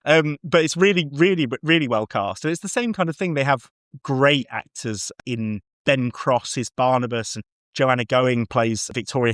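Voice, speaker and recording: A man's voice: medium pitch, a bit bright, no echo. Enthusiastic man chatting, close dry sound. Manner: enthusiastic, effusive. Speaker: podcast guest. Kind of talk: casual review chat.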